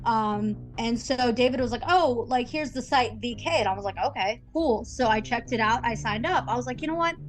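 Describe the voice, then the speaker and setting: A woman's voice: a little high, a little fast, few pauses, soft background music. A woman in her thirties from Russia, telling her personal story as a podcast guest, chatty with many ums. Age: 30s. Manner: chatty, lots of ums and quoted asides.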